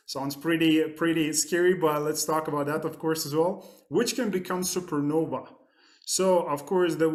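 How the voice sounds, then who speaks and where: A man hosting a podcast, introducing his guest eagerly and asking rambling questions full of fillers. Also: fairly high pitch. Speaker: podcast host. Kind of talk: casual interview hosting.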